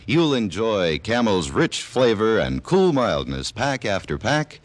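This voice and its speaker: A male announcer pitches a product in vintage radio style. His voice is fairly low and animated, recorded dry. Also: measured pace, no echo. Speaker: cigarette commercial announcer. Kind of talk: scripted old-time radio ad.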